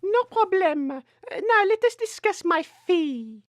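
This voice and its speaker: A woman's voice: very high-pitched, big pitch swings, a little slow, no room sound, studio quality, full character voice. A woman playing a cheerfully menacing quack lady doctor with French phrases in a theatrical comedy sketch. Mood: smugly sinister. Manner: theatrical, politely sinister. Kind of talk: audio sketch comedy acting.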